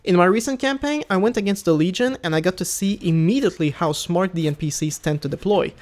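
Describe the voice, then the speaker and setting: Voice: mid-range voice, quick pace, a little mellow. A gaming video creator narrating a scripted review in a casual, enthusiastic way.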